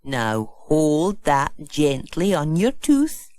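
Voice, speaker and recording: A woman's voice: deep voice, very animated, slow, darkish tone, exaggerated voice. An older village postmistress played by a woman, kindly and fussing. She takes her time over each phrase and swoops widely up and down, in children's storybook style. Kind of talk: children's storybook audiobook acting.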